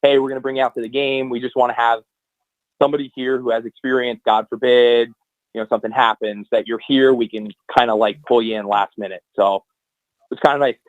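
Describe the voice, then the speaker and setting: A man's voice: medium pitch, fairly even intonation, brisk, no echo. Rambling young man on a muffled line. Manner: rambling, many fillers and restarts. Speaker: guest, hockey anthem singer. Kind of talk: casual podcast chat.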